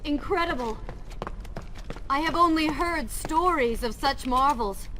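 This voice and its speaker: A woman's voice: sits high, a little slow, background noise. An actress playing a curious, wondering female companion to a hero in a video game cutscene. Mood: awed. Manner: wondering, inquisitive. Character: curious adult female companion of a warrior prince.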